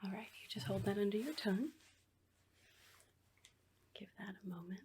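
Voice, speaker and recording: A woman playing a sinister asylum nurse in a horror ASMR roleplay, whispering in a breathy, coaxing, falsely reassuring way. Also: medium pitch, moderate pace, mellow, a little hiss or hum, lightly acted. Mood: soothing, clinical. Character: sinister asylum nurse, soothing on the surface, quietly menacing.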